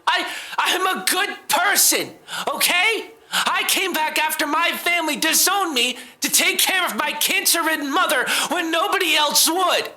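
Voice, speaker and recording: A man acting a cornered young suspect in an audio drama. The voice is high and very bright, with a tense edge. He speaks haltingly and emotionally, swearing and defending himself. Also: lively intonation, full character voice. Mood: desperate, angry.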